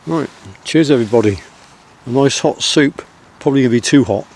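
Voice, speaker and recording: A middle-aged man with a Wiltshire country accent, vlogging outdoors in the countryside and reflecting in a soft, rambling way full of pauses. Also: mid-range voice, a little hiss or hum. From England. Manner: soft-spoken, rambling, with many pauses and fillers.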